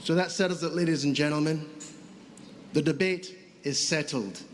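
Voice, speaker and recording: A middle-aged man giving a formal funeral speech, deliberate and pausing often. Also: fairly high pitch, fairly even intonation, a little hiss or hum. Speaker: son giving funeral tribute. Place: funeral service.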